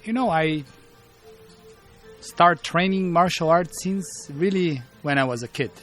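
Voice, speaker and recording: A man in his fifties with a Bulgarian accent and a fairly high voice. He tells stories in an interview, stopping often to find the English words. Faint music plays behind him. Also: fairly bright tone. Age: 50s. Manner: halting, searching for words in English. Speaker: martial arts teacher, guest. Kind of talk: interview storytelling.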